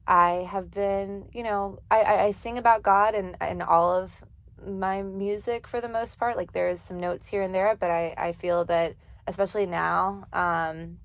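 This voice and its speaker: A young woman speaks in an earnest, casual way, with filler words and quick laughs between thoughts. Her voice sits a little low. The sound is dry and close, with no room around it. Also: dry recording. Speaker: singer and poet guest. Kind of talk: casual interview talk. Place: office studio.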